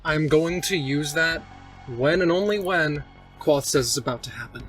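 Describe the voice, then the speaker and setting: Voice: mid-range voice, normal speed, a bit bright. A player in a tabletop role-playing game, narrating a character's actions and checking the rules. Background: music.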